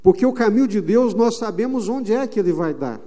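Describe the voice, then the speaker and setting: Brief: Brazilian male pastor, high, mellow preaching voice, long church reverb. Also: lively intonation. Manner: emphatic preaching with slow, drawn-out phrases. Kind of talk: sermon. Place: church sanctuary.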